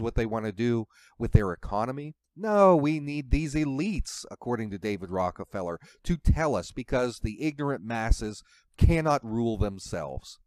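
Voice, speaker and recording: Man, slightly low voice, dry close sound, emphatic political commentator, preachy, rhetorical. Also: darkish tone, dry recording.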